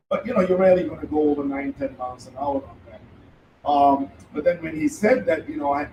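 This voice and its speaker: A man's voice: on the high side, a little mellow. A middle-aged man, the founder, speaking hesitantly with many fillers to a live audience, holding a handheld mic. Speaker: founder of the treadmill venue. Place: event room with audience. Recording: roomy hall, voice from a distance, faint noise.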